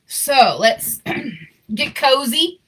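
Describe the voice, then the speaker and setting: A woman reading a children's picture book aloud in an expressive, informal way with chatty asides. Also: sits high, drawn-out words, breathy edge, low-quality recording. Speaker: story reader.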